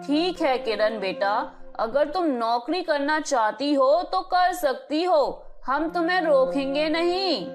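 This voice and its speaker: A woman acting as a high-pitched middle-aged mother from a cartoon moral story. She is doting but pragmatic, talks slowly and coaxes in a homely way over faint music. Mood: conciliatory. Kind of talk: animated Hindi moral story dialogue.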